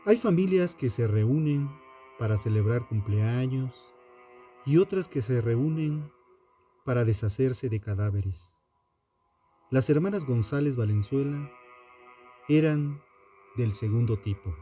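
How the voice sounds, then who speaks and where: A man, a podcast host delivering scripted true-crime narration in a dramatic, deliberate way with pauses. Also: medium pitch, animated, dark tone, soft background music.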